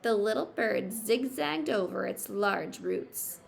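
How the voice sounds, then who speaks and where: A woman reads a children's story at an unhurried pace, with some creak in her voice. She tells it expressively and pauses to explain things simply, as a teacher does. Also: medium pitch, animated. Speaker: teacher reading aloud to pupils. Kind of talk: picture book read-aloud with teaching asides.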